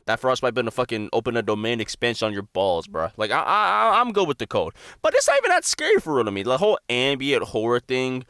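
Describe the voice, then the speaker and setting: A man's voice: mid-range voice, huge pitch swings, fast, few pauses, fairly bright tone, clear, resonant tone, dry recording, clean studio sound. A young American man giving casual, slangy, profane reaction commentary, swinging from mutters to exclamations. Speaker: reaction video host.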